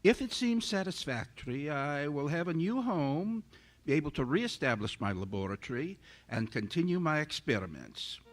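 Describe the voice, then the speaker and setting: An actor playing an arrogant, irritable scientist in a radio drama, with formal, pompous, melodramatic diction. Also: male voice, fairly high pitch, very animated, moderate pace. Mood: measured, brooding. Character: arrogant, irritable male scientist, secretive and obsessed. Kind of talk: theatrical radio drama acting, journal narration.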